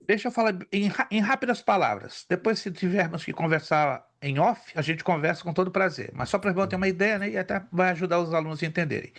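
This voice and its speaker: Teacher, male, somewhat high voice, animated storyteller in a relaxed class. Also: fast talker, few pauses. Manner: storytelling, animated, lists facts. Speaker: theology teacher. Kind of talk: casual class conversation.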